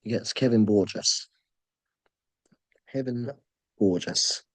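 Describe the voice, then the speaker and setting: Hoarse low-voiced man hosting a draw. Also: no echo. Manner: halting, many ums and uhs, low-key. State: sore throat, voice nearly gone. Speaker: host running a fantasy draw. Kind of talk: casual screen-share commentary.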